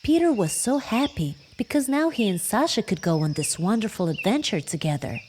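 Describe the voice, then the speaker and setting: A woman narrating a children's bedtime story, telling it warmly and addressing the listeners directly. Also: mid-range voice, lively intonation, fairly quick, slight background noise. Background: music.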